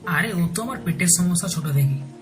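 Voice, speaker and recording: A man's voice: sits high, animated, brisk. Teasing, devoted Bengali husband played by a man. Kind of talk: romantic audio story acting. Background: soft background music.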